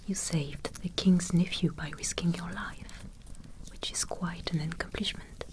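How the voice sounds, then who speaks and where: A woman whispering an ASMR roleplay as a gentle, reassuring medieval noblewoman healer, in an unhurried way. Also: lowish voice, steady tone.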